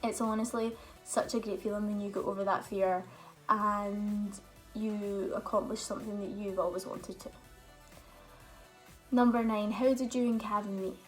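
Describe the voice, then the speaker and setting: A woman's voice: medium pitch, steady tone, normal speed, low-quality recording. Chatty young woman, faint music. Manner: chatty, open storytelling with fillers. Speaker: vlogger. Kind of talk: casual Q&A vlog chat.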